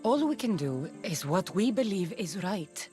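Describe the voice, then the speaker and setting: A woman's voice: mid-range voice, sing-song, a little slow, over music. A woman playing a weary but tender young mercenary mother in a video game cutscene, dry and affectionate.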